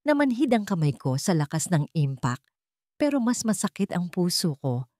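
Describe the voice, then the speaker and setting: A woman's voice: fairly low pitch, sing-song, moderate pace, a little mellow, dry recording, studio quality. A woman reading a dramatic first-person story as a scripted narrator.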